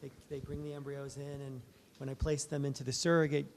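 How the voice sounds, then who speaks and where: A man, a fertility doctor, giving a soft-spoken, conversational talk at a conference, with many fillers and restarts. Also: mid-range voice, level tone, fairly quick. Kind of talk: conference presentation. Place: conference room.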